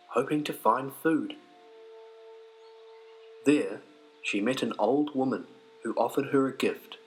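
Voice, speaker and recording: Slow-paced New man telling fairy tales. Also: medium pitch, low-quality recording. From New Zealand. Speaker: storyteller, narrator. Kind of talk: scripted fairy-tale read-aloud narration. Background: music.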